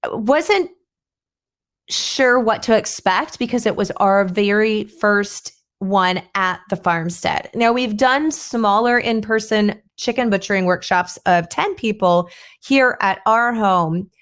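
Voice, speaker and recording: A hoarse, middle-aged woman talks casually into her podcast mic. Her delivery is chatty and meandering. She often restarts a thought partway through, as if working it out aloud. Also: mid-range voice. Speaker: podcast host. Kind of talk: casual solo podcast talk.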